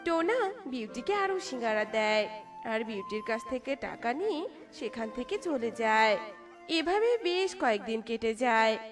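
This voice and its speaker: Brief: animated woman storyteller, high pitch, music underneath. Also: measured pace. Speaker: narrator. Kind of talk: scripted storybook narration.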